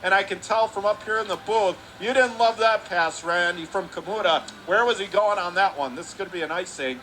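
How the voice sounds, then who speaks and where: A man in a broadcast booth calling a hockey game play by play, with rapid, clipped calls that climb higher on shots and saves. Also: bright, forceful tone, slight background noise, low-quality recording. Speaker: radio play-by-play announcer. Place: broadcast booth above an ice rink.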